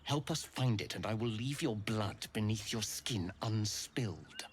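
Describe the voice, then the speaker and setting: A man's voice: fairly low pitch, expressive, unhurried, a little hiss or hum. A man playing a haughty, menacing alien warrior commander in a fantasy video game, imperious and contemptuous. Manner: imperious, contemptuous, formal threats.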